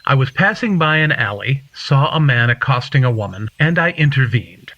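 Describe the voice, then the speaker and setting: An actor playing a defiant, zealous cult killer in an audio drama, unrepentant and self-righteous. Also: male voice, medium pitch, expressive, normal speed, no room sound, dull audio, natural voice.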